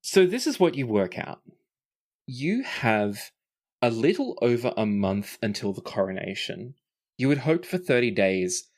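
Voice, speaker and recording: A man narrating a tabletop role-playing game as game master. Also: on the low side.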